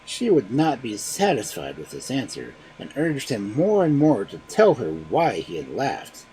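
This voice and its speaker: Animated male storyteller with lively pitch. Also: measured pace, soft background music, low-quality recording. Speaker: storyteller host of a live stream. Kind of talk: animated fairy tale reading with casual asides.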